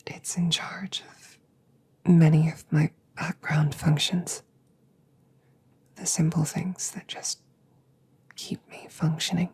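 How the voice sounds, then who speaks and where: A wounded female android soldier, played by a woman, in a roleplay audio drama. She whispers in a very breathy, low and unhurried voice, formal and halting. Her temper is stoic and polite, with quiet grief underneath. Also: flat delivery, exaggerated voice. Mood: calm, instructive.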